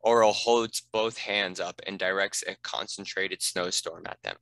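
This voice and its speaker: A man's voice: deep voice, fairly even intonation, a little slow, a bit bright, dry recording. A man reading scripted narration and stage directions for a fantasy script read.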